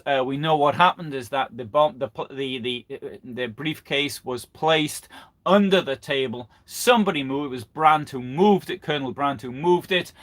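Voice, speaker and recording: Halting man giving a history talk. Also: mid-range voice, fairly bright tone. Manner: halting, repeats and restarts phrases mid-sentence. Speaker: history presenter. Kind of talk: unscripted live history lecture. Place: live online broadcast.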